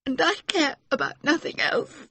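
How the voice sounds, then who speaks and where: A man voicing an earnest, anxious young wife in a high, strained falsetto. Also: moderate pace, creaky, pushed and bright, no room sound, full character voice. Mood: pleading.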